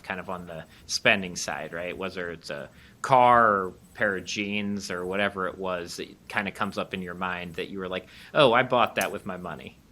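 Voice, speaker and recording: A man's voice: on the low side, pushed and bright. A man co-hosting a podcast, asking loose, rambling questions with fillers. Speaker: podcast co-host. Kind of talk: casual interview chat.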